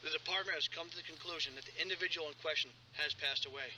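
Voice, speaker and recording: An actor playing a curt official delivering a formal, terse press statement. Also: male voice, fairly high pitch, racing, pushed and bright. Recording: distant, noisy press recording.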